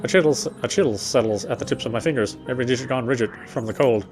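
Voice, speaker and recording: A man's voice: mid-range voice, a little mellow. Man reading a horror story over music. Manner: measured, with stumbles over the text. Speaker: game streamer reading aloud. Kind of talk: dramatic read-aloud narration of game text. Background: game music.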